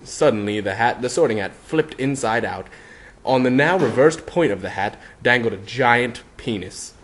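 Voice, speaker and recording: A man's voice: mid-range voice, animated, normal speed, a little hiss or hum. A man narrating fan fiction as a mock-dramatic reading. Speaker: narrator, reader.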